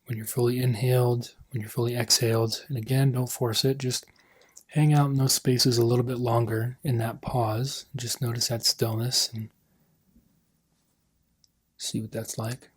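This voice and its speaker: Male meditation coach, husky mid-pitched voice, gentle phrasing with pauses, lines tapering off softly. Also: little pitch movement. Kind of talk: guided meditation.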